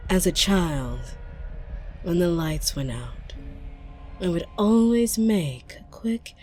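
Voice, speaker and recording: A woman playing a coldly patient, menacing interrogator from a warrior clan, speaking deliberately and with mock politeness, slipping into whispers in an ASMR drama. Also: low voice, a little mellow. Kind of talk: dramatic ASMR roleplay monologue.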